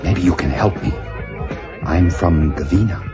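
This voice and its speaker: An actor playing a polite, bewildered alien visitor, formal and earnest, in an audio drama comedy. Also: male voice, low-pitched, measured pace. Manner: formal, earnest, hesitant phrasing. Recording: muffled audio with music and party crowd. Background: music, party chatter.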